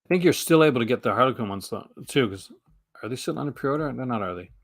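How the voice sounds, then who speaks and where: Hoarse, rambling male stream host. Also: medium pitch, lively intonation, quick pace. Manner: rambling, enthusiastic, many fillers and restarts. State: losing his voice. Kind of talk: casual live stream chat.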